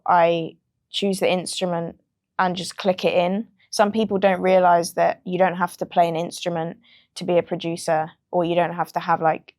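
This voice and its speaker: A woman's voice: lowish voice, no room sound, clean studio sound. A young British woman, a music producer, chatting casually in an interview with plenty of ums. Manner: relaxed, chatty, frequent ums and laughs.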